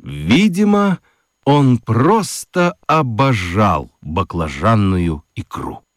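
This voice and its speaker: A man narrating a children's radio play in an expressive, deliberate, wry storytelling style. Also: lowish voice, no room sound. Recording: dry studio with music underneath. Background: music.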